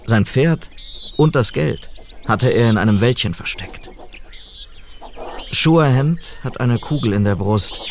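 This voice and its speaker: Slow, gravelly journal narrator. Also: male voice, fairly low pitch, background noise. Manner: terse, reflective. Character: weary male adventurer dictating his terse travel journal. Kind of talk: radio drama journal narration.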